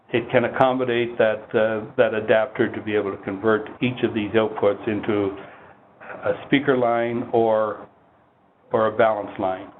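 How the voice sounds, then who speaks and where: A man presenting technical product training in a measured, explanatory, instructional way. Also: fairly low pitch. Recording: muffled phone-like line with heavy noise.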